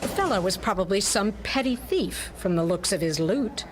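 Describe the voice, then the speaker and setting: A woman's voice: medium pitch, lively intonation, normal speed, noisy background. A woman delivering dramatic scripted narration for a pulp detective story, as in radio theatre.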